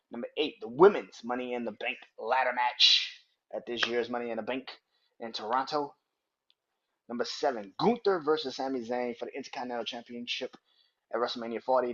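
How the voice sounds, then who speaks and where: A young man runs a casual podcast countdown, swearing freely and breaking into laughter as he gets fired up. His voice is husky and mid-pitched, and the recording sounds dull and lo-fi. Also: a little fast. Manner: profane, excitable, laughs often. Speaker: podcast host. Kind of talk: casual ranking monologue.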